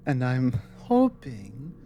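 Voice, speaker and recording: Woman playing a slow, mellow, earnest dragon woman. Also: extremely low pitch, big pitch swings, a little hiss or hum, exaggerated voice. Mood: grave, warning. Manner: hesitant, explanatory, earnest. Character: earnest, apologetic female dragon warning a human ally of war. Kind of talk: ASMR audio roleplay.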